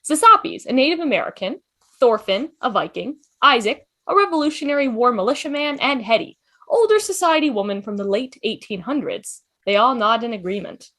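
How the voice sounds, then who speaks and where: A woman's voice: a little high, lively intonation, a little fast, sharp tone. A woman reading scripted stage directions for an amateur table read, announcing each scene.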